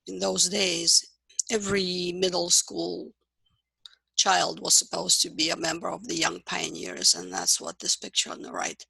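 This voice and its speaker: A middle-aged woman from Russia with a Russian accent, a psychology professor giving a measured, explanatory course introduction with occasional fillers. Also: on the low side, lively intonation, vocal fry, bright, forceful tone, breathy edge, no room sound, low-quality recording.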